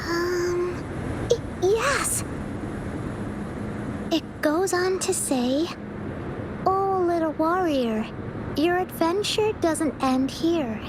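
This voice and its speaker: A woman's voice: very high voice, expressive, drawn-out words, pauses often, noisy background, exaggerated voice. A woman voicing a timid, earnest young child in a video game, with a hesitant, childlike manner. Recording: music behind the voice.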